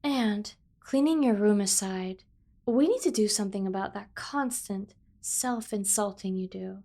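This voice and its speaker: A woman's voice: mid-range voice, measured pace, dark tone. A woman voicing an obsessive, protective yandere sibling in an ASMR roleplay, speaking with earnest, over-the-top devotion. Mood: doting, earnest. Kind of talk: scripted ASMR roleplay addressed to the listener.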